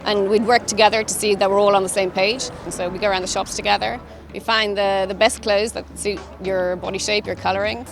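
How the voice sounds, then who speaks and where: A woman's voice: medium pitch, flat delivery, brisk, vocal fry. A woman with an Irish accent, a personal stylist explaining her work in an interview. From Ireland.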